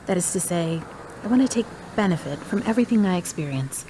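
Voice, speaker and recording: A woman's voice: on the low side, animated, fairly quick, noisy background, natural voice. A woman playing a teasing, frank lady. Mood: playful. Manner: teasing, direct. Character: playful, frank woman, once divorced. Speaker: radio drama actress. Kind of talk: amateur radio drama.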